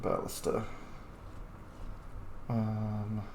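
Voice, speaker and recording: A man's voice: lowish voice, completely flat delivery, extremely slow, vocal fry. A man giving casual, sweary let's play commentary as host of a gaming channel. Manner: informal, sweary. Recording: roomy echo with faint noise.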